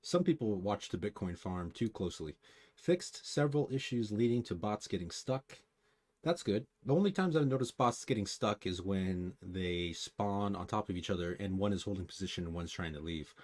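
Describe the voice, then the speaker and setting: Man, fairly low pitch, casual review style, opinionated, dry asides, gaming guide host. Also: expressive, moderate pace, darkish tone. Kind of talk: casual patch notes review with commentary.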